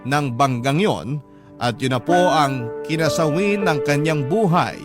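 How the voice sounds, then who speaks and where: A Filipino man tells a first-person story in dramatic radio narration. His voice is on the higher side, with a sentimental, measured delivery. Music plays clearly behind him. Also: lively intonation. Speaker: radio storyteller reading a letter.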